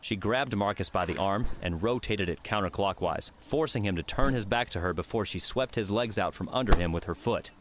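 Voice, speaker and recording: A man's voice: on the low side, a little fast, hardly any pauses, slight background noise. A man reading scripted fiction as an audiobook narrator.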